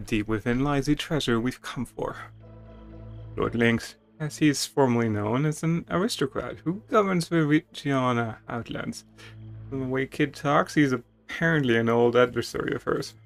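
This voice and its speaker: Higher-pitched man narrating theatrically over music. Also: normal speed, gravelly. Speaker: streamer. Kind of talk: dramatic reading of game text with casual asides.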